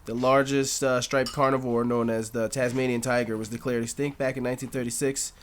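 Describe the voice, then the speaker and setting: A man's voice: mid-range voice, little pitch movement, brisk. A young man with a nasal voice, co-hosting a casual podcast, rambling loosely with frequent laughs and casual swearing. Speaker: podcast co-host. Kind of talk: casual chat and spoken freestyle rap.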